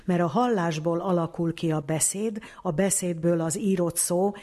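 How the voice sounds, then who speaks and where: A woman's voice: on the low side, mellow. A woman explaining things as a medical specialist in an interview. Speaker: ear specialist doctor interviewee.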